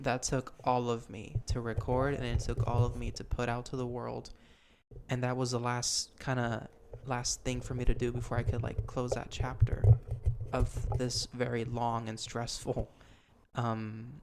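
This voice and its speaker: A man's voice: medium pitch, creaky, a little hiss or hum. A young man hosting a podcast, talking in a casual, earnest way with plenty of ums and restarts. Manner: earnest, rambling with many ums. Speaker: podcast host. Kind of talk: casual chat.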